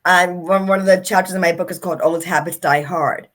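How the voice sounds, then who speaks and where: A woman's voice: lowish voice, fairly even intonation, brisk. A middle-aged woman, an author and speaker, telling her story as an interview guest in an earnest, reflective way.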